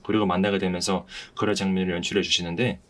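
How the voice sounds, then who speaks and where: A man with a fairly low voice hosts a podcast. He talks casually and earnestly, feeling his way through his thoughts with some hesitation. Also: flat delivery, fast talker. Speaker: podcast host. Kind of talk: casual podcast discussion of a play.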